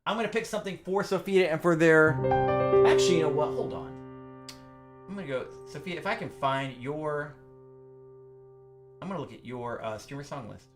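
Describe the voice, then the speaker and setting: A man's voice: fairly high pitch, normal speed, pauses often. A middle-aged man hosting a casual music livestream, chatty and upbeat, with lots of fillers. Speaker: livestream pianist host.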